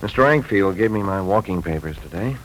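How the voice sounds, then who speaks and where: A man's voice: sits low, expressive, brisk, fairly bright tone. An actor playing an earnest, folksy young husband and father in a 1940s radio drama. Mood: halting, uneasy. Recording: slightly noisy 1940s radio recording.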